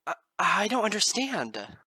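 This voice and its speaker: A man's voice: sits high, big pitch swings, measured pace, pushed and bright, slightly rough, no room sound, pitched above the performer's own voice, full character voice. A man voicing a distraught young female musician pony in an audiobook reading, tearful and stammering. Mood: confused.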